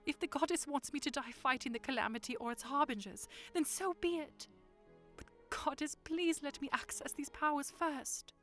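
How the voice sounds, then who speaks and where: A woman's voice: high-pitched, normal speed, fairly bright tone, soft background music. A woman playing a proud but self-doubting young princess in an audio drama, speaking earnestly in formal wording. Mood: bitter, frustrated.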